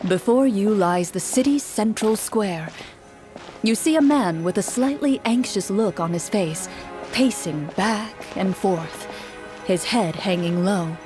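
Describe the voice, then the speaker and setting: A woman narrating a game scene in a slow, hushed, descriptive way. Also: mid-range voice, soft background music. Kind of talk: scripted story narration.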